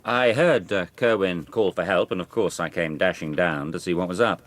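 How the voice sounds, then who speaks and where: An actor playing an arrogant, sardonic young country gentleman in a radio drama. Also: low-pitched, normal speed, no echo. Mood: guarded. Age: young adult. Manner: smooth talk with barbed sarcasm.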